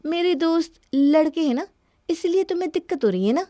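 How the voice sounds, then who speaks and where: A woman's voice: extremely high pitch, expressive, a little fast, mellow, dry recording, clean studio sound. A woman voicing a spoiled, defiant young wife in a cartoon story, arguing in a dismissive, indignant way. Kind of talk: Hindi cartoon story dialogue.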